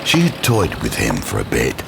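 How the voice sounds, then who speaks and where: An actor playing a grave, ominous storyteller, narrating a horror audio drama with measured suspense. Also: male voice, rumbling low, wildly swooping pitch, creaky, heavy background noise, full character voice. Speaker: third-person narrator.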